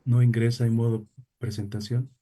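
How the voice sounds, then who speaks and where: A man's voice: medium pitch, little pitch movement, moderate pace, warm tone. A man hosting a university class session who speaks hesitantly with many fillers. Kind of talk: class introduction.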